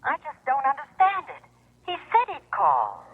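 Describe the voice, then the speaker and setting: Casting: a nosy, pushy young gal pal for old-time radio, high and very animated, insistent, on a narrow phone-like sound. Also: female voice, no room sound, exaggerated voice. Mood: concerned, prying. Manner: brisk, insistent, meddling. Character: pushy, nosy, chatty young girlfriend confidante. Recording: tinny, narrow telephone-style lo-fi sound.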